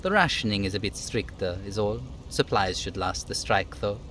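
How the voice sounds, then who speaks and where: A man's voice: on the low side, animated, normal speed, a bit bright, a little hiss or hum. A man voicing a chatty, easygoing striking union worker in a video game, with a folksy, teasing manner. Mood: friendly.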